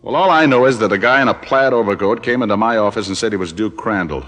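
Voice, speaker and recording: A man's voice: lowish voice, fast, close to an everyday voice. An actor playing a sardonic, unflappable male private detective who also narrates, in 1940s radio drama style. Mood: dry, defiant. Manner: sardonic, dry wit. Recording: old radio recording with loud music.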